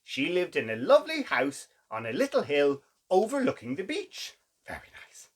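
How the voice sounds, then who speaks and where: Male kids' story host, lively swooping delivery, slightly raspy tone, theatrical pauses. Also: a little high. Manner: exclamatory, theatrical, with dramatic pauses and gasps. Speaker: children's story reader, show host. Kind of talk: animated children's storytelling.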